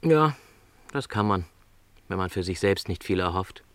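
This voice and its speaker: A middle-aged travelling salesman in a radio drama. His voice is on the low side and swings widely in pitch as he argues gently and earnestly. He is courteous and careful, in a very dry recording. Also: lively intonation, moderate pace, clear, resonant tone, dry recording. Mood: insistent, regretful. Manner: courteous, careful, apologetic phrasing. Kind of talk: radio play dialogue.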